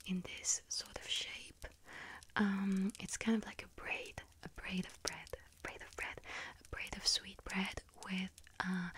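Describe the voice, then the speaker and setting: Breathy whisper, unhurried pace, gentle and savoring, friendly sweet-toothed little witch shopkeeper, played by a woman, ASMR bakery roleplay. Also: medium pitch, natural voice. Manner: gentle, slow, savoring, chatty with small hesitations. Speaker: ASMR roleplay performer as bakery shop assistant.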